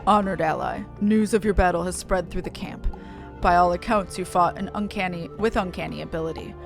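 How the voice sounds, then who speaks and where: A woman's voice: lowish voice, gravelly, over music, pitched below the performer's own voice. A woman voicing a stern, proud beastman war leader in a game, speaking solemnly and formally. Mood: solemn approval. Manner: solemn, slow, formal delivery. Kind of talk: reading game dialogue in character.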